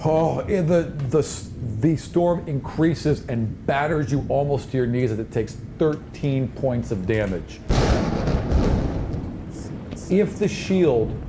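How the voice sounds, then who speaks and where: A man's voice: mid-range voice, expressive, a little slow, hardly any pauses. A man running a tabletop roleplaying game, narrating scenes off the cuff in a conversational, vivid way. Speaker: game master. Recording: noisy room ambience behind voice.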